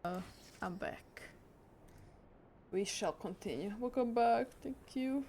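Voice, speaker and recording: A woman livestreaming a game, chatting casually and a little haltingly as she reacts. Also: fairly high pitch, mellow, a little hiss or hum. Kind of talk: casual live reaction chat. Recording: game music behind the voice.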